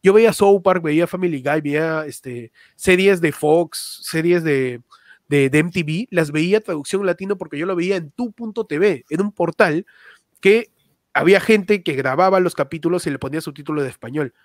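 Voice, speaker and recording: A man's voice: on the high side, normal speed, no echo, studio quality. A man chatting casually about stand-up comedy, rambling, restarting often and using lots of slang. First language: not Spanish.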